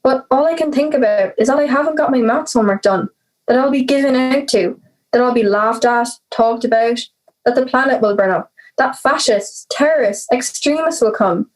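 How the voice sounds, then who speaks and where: A woman's voice: high voice, moderate pace, close to an everyday voice, low-quality recording. A young woman playing an anxious, reluctant, brooding teenage girl in an audio drama. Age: teenager or young adult. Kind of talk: audio drama monologue and dialogue.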